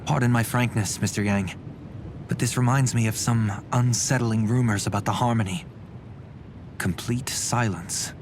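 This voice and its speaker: A grave, guarded game gentleman, played by a man, courteous and formal in his delivery. A loud rumble runs behind his voice. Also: fairly even intonation, lightly acted. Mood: wary. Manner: formal, measured. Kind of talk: video game voice acting.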